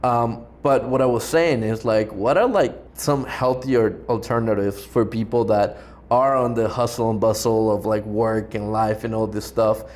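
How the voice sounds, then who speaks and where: A man's voice: on the low side, steady tone, moderate pace, a little mellow. A man from Ecuador hosting a podcast, talking in a loose, rambling way with lots of "like" fillers. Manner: rambling, heavy use of "like" fillers. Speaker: podcast host. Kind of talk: casual interview chat.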